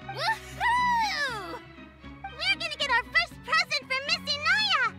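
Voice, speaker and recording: A woman's voice: very high voice, big pitch swings, takes it slowly, over loud music, full character voice. A woman voicing a small, perky, curious childlike companion creature in a video game. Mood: excited.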